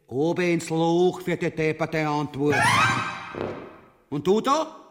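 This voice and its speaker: A man's voice: a little high, slow. A man with an Austrian-Bavarian accent playing a crude, greedy, bullying tyrant king in a grotesque radio play, pompous and coarsely abusive. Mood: gruff, contemptuous. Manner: pompous proclamations sliding into coarse insults. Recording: long hall-like reverberation.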